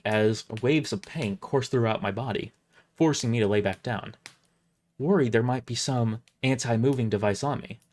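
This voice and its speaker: Male livestream storyteller, mid-pitched, highly animated read of scripted narration.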